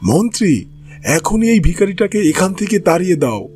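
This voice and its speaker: A man's voice: high voice, sing-song, normal speed, darkish tone, slight background noise. A man voicing an arrogant, stingy and irritable king in a fairy-tale cartoon, haughty and commanding. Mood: scornful, annoyed.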